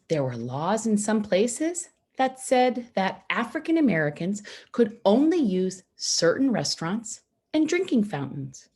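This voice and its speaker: A woman reading a children's picture book aloud, phrasing it simply and deliberately with pauses for young listeners. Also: fairly low pitch, a little mellow, breathy edge. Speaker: storybook reader for schoolchildren.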